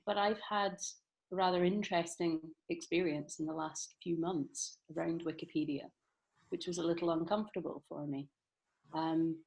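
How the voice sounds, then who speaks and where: A woman with a Scottish accent talks through her ideas in a workshop discussion. Her voice sits fairly low and has a noticeable gravelly fry. She speaks thoughtfully and searches for her words as she goes, over a video call. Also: poor audio. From southeastern Scotland. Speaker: workshop participant. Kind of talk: reflective discussion.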